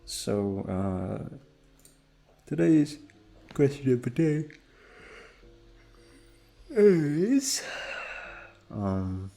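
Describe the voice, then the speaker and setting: A young man chatting casually to his listeners in a quiet, low-energy, laid-back way, hesitant and swearing freely. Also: sing-song, extremely slow, gravelly, long pauses, soft background music. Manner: hushed, low-energy, keeping quiet for others in the house. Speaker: audio roleplay creator and host. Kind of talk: rambling casual chat to listeners. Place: at home.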